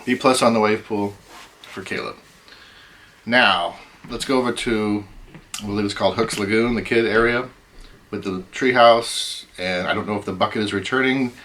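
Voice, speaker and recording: A middle-aged man hosting a casual chat, rambling with many ums, his voice going hoarse. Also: fairly low pitch, normal speed, a bit bright. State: losing his voice. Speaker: host.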